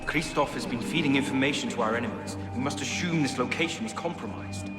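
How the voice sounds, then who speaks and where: A deferential henchman, played by a male actor, reports in a medium-pitched voice. The room echoes and the dramatic score is very loud in this game scene. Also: fast talker, loud music behind the voice, natural voice. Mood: urgent, deferential. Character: dutiful male henchman reporting urgently to his master. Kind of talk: cinematic video game dialogue.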